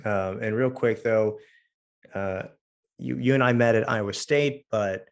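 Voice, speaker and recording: A young man with a hoarse voice hosting a casual podcast interview, talking in halting bursts with many fillers and restarts. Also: lowish voice. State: hoarse from losing his voice. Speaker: podcast host.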